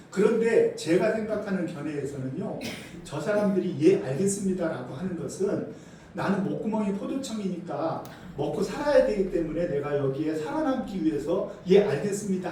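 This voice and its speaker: A middle-aged pastor from South Korea preaches to his congregation in a high, mellow voice. He keeps asking the congregation questions and moves between loud, emphatic lines and low, quiet asides. He is recorded from a distance in a very echoey church hall with faint background hiss. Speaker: senior pastor. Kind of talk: sermon. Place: church sanctuary.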